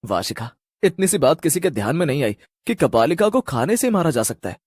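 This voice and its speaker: A caring village man in a storybook drama, played by a man. He sounds husky and earnest, in a dry recording with little room around him. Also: fairly high pitch, very animated, brisk, a little mellow, dry recording. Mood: admiring.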